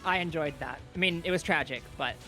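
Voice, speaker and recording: A man's voice: fairly high pitch, pushed and bright, faint music behind, low-quality recording. A man co-commenting a livestreamed speedrun event in a chatty, playful way. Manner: chatty and playful, with quick restarts. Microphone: own microphone, talking close into it.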